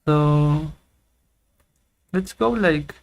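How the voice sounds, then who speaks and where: A man giving a casual, rambling software tutorial, joking and humming tunes as he goes. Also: on the high side, drawn-out words, gravelly. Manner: playful, rambling, hums and sings tunes while waiting. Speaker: tech YouTuber. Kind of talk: casual screen-recorded tutorial.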